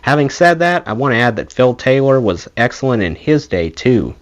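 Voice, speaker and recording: A man reads a memoir aloud as an audiobook narrator. His pitch moves up and down in a lively way, giving the storytelling an expressive, animated feel. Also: moderate pace, lo-fi sound. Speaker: audiobook reader, channel host.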